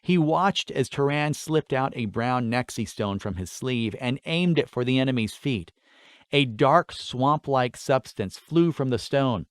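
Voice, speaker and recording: Casting: male storyteller for fantasy audiobook narration, medium-pitched voice, very dry recording. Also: no echo.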